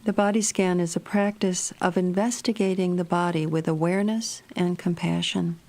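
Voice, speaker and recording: A woman narrating a guided meditation in a gentle, measured, soothing manner. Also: lowish voice, steady tone.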